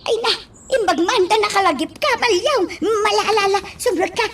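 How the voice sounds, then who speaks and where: A woman acting in an amateur radio drama, talking in quick exclamations. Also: extremely high pitch, fairly bright tone.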